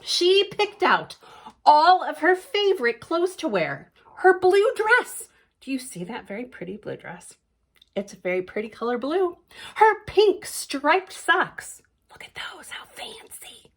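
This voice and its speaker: A woman reading a children's picture book aloud with expressive, drawn-out words, whispered drops and chatty questions to young listeners. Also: high voice. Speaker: library storytime reader.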